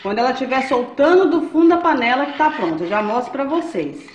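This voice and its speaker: A woman's voice: high voice, animated, fast talker. Chatty woman teaching a recipe. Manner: chatty, checks in with viewers often. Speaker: cooking channel host. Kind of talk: step-by-step recipe demonstration. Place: home kitchen. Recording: echoey kitchen with faint noise.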